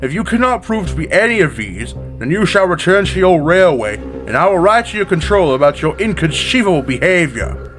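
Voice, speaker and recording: A man playing a stern, proper railway director in an audio story, speaking in formal, measured reprimands. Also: sits high, big pitch swings, fairly bright tone. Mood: stern disapproval. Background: music.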